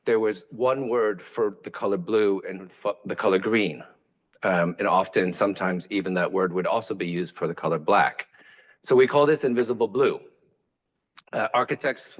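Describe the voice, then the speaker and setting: A man whose first language is Vietnamese, an architect giving a lecture in a hesitant way, with many fillers and restarts. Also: lowish voice. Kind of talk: academic lecture.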